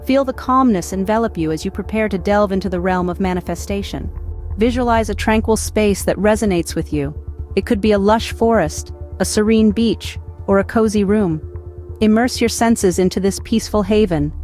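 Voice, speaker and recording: A woman narrating a scripted guided meditation in a measured, instructional way. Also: medium pitch, a little mellow. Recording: background music behind voice. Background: music.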